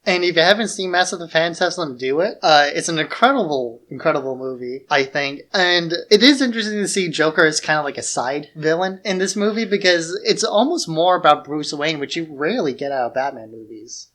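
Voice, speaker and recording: A man's voice: a little high, expressive, brisk, hardly any pauses, a bit bright. Informal male podcast co-host, chatting loosely. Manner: informal, loosely worded, frequent "like" filler. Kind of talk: casual conversational film discussion.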